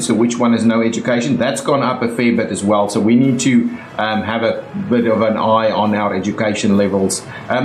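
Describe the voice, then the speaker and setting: A man's voice: on the low side, a little mellow, a little hiss or hum. Man, South African accent, young adult, casual game commentary, thinking aloud, roomy echo. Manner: chatty, thinking aloud with many fillers. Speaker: gaming YouTuber.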